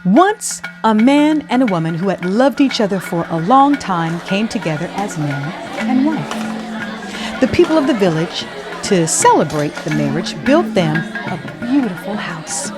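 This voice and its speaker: Female storytime host for kids, expressive and teacherly, lively mid-range voice, music underneath. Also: mid-range voice, measured pace. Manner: expressive, teacherly, addressing children and parents. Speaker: host of a children's read-aloud storytime show. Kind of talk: expressive storybook reading with scripted instructions.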